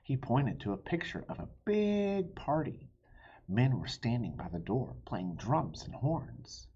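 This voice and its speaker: A man narrates a children's storybook in an unhurried, expressive read-aloud. His voice is very husky and mellow. The recording sounds slightly muffled. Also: medium pitch. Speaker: storybook reader.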